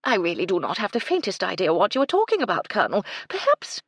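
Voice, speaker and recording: A woman's voice: mid-range voice, wildly swooping pitch, normal speed, husky, no room sound, clean studio sound, pitched above the performer's own voice. A woman voicing a fussy, haughty English society lady in a storybook, prim and precise. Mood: puzzled, impatient.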